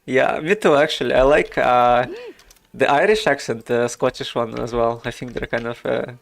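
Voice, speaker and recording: A man's voice: medium pitch, moderate pace, gravelly. A man from Russia with a Russian accent, chatting casually while streaming a game, thinking aloud with many fillers. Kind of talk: casual gameplay commentary.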